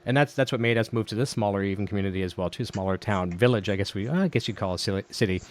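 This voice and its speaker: A man's voice: lowish voice, fast, no room sound. A middle-aged Canadian man hosting a casual podcast interview, chatty and easy-laughing, telling anecdotes. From Ontario, Canada. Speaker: podcast host.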